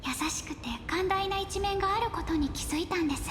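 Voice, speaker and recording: High, gentle young woman guide. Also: rapid-fire, background noise. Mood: gentle, explaining. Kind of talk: Japanese anime-style game acting. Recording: echoey hall reverb, clear game music.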